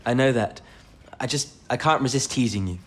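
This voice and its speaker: An actor playing a playful, teasing young suitor in wartime service, wry and light-hearted, in an audio drama. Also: male voice, mid-range voice, a little fast, natural voice.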